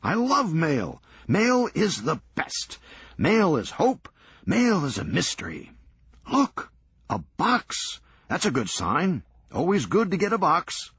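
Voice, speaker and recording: A man voicing a manic, excitable male collector who talks fast and swings between moods. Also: fairly high pitch, husky, no echo, voice pushed up, heavily acted. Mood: giddy. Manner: rapid, giddy, swinging wildly between moods.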